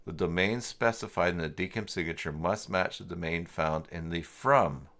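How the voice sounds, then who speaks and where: Male tutorial streamer, deep register, informal and halting, talks to himself and reads off the screen, often trailing off. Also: gravelly, a bit bright. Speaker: livestream host working through website email setup. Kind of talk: casual livestream tutorial, thinking aloud and reading from screen.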